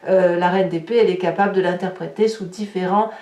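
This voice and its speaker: A woman's voice: fairly low pitch, fairly even intonation, brisk, warm tone. A woman giving an improvised tarot reading to viewers, explaining in a searching way and often rephrasing as she goes. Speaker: tarot reader. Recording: roomy echo, distant microphone.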